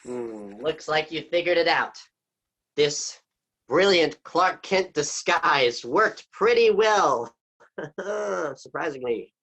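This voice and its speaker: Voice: mid-range voice, expressive, takes it slowly, fairly bright tone, exaggerated voice, low-quality recording. A smug wolf villain. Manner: smug, gloating, chuckling. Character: scheming big bad male wolf villain. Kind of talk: community theatre acting.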